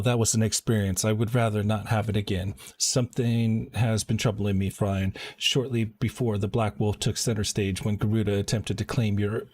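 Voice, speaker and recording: A man live streaming a game, giving casual commentary and reading dialogue aloud. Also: lowish voice.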